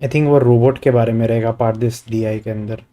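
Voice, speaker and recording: A man's voice: mid-range voice, steady tone, rapid-fire, mellow, faint music behind. A man from India with an Indian accent, giving casual livestream game commentary and switching between languages. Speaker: game streamer. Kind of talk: casual livestream commentary mixing Hindi and English.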